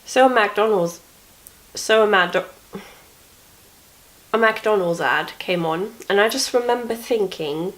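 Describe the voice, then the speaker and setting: Slow-talking sniffly young woman vlogger. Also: medium pitch, fairly bright tone, low-quality recording. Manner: chatty, wry, self-mocking. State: sniffly with a cough. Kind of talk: casual storytelling vlog from bed.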